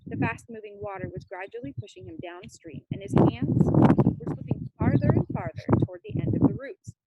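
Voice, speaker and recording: A woman's voice: extremely high pitch, sing-song, moderate pace, gravelly, narrow, tinny audio, very noisy. A woman reading a children's novel aloud with dramatic, suspenseful narration. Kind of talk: expressive read-aloud narration.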